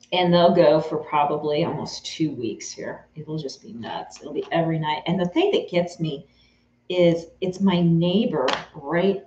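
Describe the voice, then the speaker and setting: A woman's voice: sits low, darkish tone. A woman from Texas in her forties, chatting casually with her live viewers by name while she sews at home. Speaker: craft vlogger answering live chat. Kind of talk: casual live-stream chat while sewing. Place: home sewing room, indoors. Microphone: camera on a tripod, a few feet away. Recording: roomy, slightly dull home-camera sound.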